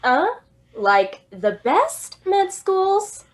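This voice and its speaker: A woman's voice: very high-pitched, sing-song, exaggerated voice. A young actress playing a tipsy, giggly woman waiting for a late-night train in a radio drama. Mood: flustered. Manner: giggly, drawn-out tipsy delivery.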